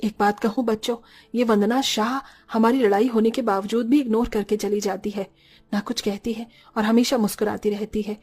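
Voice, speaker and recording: A woman's voice: on the high side, fairly even intonation, moderate pace, a little mellow, breathy edge. A woman voicing a bossy, gossipy but caring older mother-in-law in a Hindi cartoon story. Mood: musing, gossipy.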